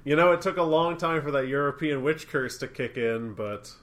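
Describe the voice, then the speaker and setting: A young man co-hosting a casual comedy podcast while sick, trading deadpan absurd jokes. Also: on the high side, moderate pace. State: sick with a cough. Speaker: podcast co-host.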